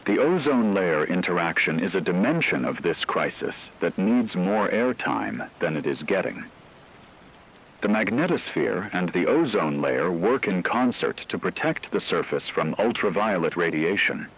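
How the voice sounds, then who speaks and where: A man playing a grave radio broadcaster delivering a measured, formal emergency report on a global catastrophe. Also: sits low, sing-song, a bit bright, breathy edge, heavily acted, poor audio. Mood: grave, explanatory.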